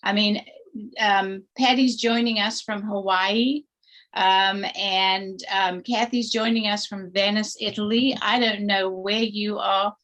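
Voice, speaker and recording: A woman from Zimbabwe hosting a casual chat over a video call. She moderates calmly and hands the turn from one person to the next. Her voice sits at an ordinary pitch. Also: takes it slowly, rough recording. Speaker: host.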